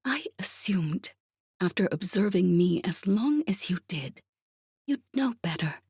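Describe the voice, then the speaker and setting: A woman voicing a calm, calculating veteran female spy in an audiobook, speaking softly and breathily with quiet assurance. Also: medium pitch, a little slow, slightly rough, no room sound, studio quality, pitched above the performer's own voice, exaggerated voice. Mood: cool, assured. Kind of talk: audiobook character acting.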